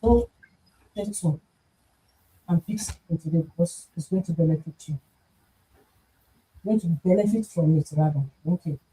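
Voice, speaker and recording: Upbeat co-host from Nigeria, very dark and mellow tone. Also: mid-range voice, moderate pace, long pauses, low-quality recording. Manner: upbeat, calls viewers "beautiful people" and "my people". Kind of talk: energetic live-stream introduction.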